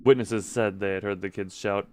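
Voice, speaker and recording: A man's voice: fairly low pitch, fairly even intonation, normal speed, background noise. A man doing casual game livestream commentary and reading game text aloud, often tripping over words and correcting himself. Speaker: game streamer.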